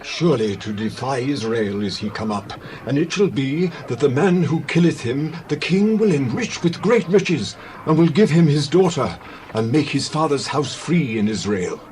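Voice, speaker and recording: Man voicing an excited mid-pitched ancient soldier. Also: moderate pace, slight background noise, natural voice. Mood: urgent. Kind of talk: dramatized scripture reading.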